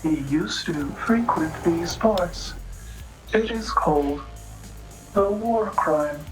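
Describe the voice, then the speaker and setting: A female android bartender in a noir audio drama, low-voiced and unhurried. She is polite but sly, speaking in precise, halting, literal phrases over music. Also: rough recording. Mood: informative.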